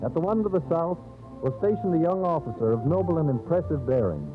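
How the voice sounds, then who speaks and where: A man narrating a scripted 1930s radio drama in a solemn, theatrical, measured storyteller's manner. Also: a little high, expressive. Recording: old scratchy 1930s radio, narrow phone-like band. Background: dramatic background music.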